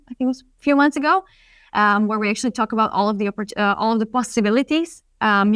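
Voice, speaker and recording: A customer success lead answering questions in a webinar, reassuring and full of small ums and restarts. Her voice is smooth and clear, recorded dry and close over a video call. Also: medium pitch, no echo.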